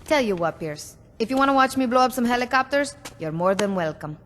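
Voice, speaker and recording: A woman's voice: on the high side, animated, fairly quick, fairly bright tone, slight background noise. Blunt, tough female gang boss. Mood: blunt, irritated. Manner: blunt, sardonic, commanding. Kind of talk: video game cutscene acting.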